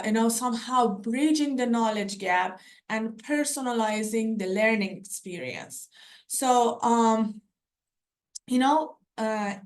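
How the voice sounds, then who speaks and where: Presenter: young woman, Persian accent, slow and halting delivery, fairly high voice, mic at a distance. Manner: hesitant, many fillers and restarts. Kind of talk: conference presentation. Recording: voice from far off the mic.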